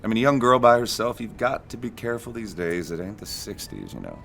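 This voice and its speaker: Low-voiced, folksy, teasing husband. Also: male voice, expressive, brisk, vocal fry, fairly bright tone, faint music behind. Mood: teasing, casual. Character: affable married man offering a hitchhiker a lift, quietly probing. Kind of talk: naturalistic audio drama acting.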